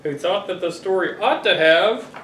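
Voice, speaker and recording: A middle-aged man reading a picture book aloud to children, expressive and playfully exasperated. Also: sits high, moderate pace. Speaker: father reading to his children. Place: living room sofa, indoors. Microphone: camera a few feet away. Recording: roomy, distant home recording with noise.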